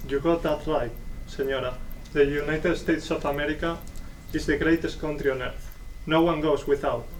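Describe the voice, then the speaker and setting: A self-assured, patronizing embassy bureaucrat played by a man talks formally in a stage reading. His voice is on the high side, picked up at a distance with faint noise. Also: fairly even intonation, moderate pace, lightly acted. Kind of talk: dramatic reading of a stage play.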